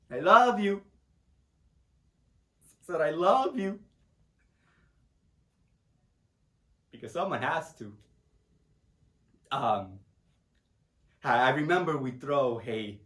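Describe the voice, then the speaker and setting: A proud, tender, grieving middle-aged Puerto Rican father, acted by a man in a dramatic monologue. His voice is high and swings widely, with a Spanish accent and rambling broken English. It sounds in an echoey room. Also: a bit bright, heavily acted. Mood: earnest, tender. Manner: broken English, rambling, tags phrases with "huh?".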